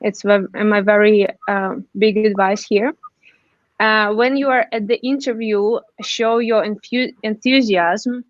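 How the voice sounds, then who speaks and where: A woman presenting job-search tips on a webinar, earnest and halting, with many restarts and fillers. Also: mid-range voice, steady tone, dry recording. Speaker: webinar panelist. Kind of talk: slide presentation. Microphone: computer mic on a video call. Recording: dull dry video call audio.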